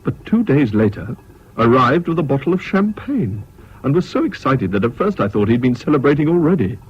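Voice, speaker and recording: An actor narrating a British radio drama in the first person as a reflective, dryly ironic Englishman with a measured manner. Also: mid-range voice, sing-song, slightly rough. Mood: wry, reflective. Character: wry, reflective male friend narrating the story. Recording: dull, hissy old radio recording.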